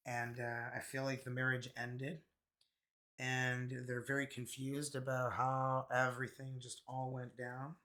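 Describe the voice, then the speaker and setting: A hoarse man from Canada with a raspy voice, chatting casually through a tarot card reading with many fillers. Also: mid-range voice, monotone, unhurried, pushed and bright. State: hoarse, recovering from illness. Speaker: tarot reader.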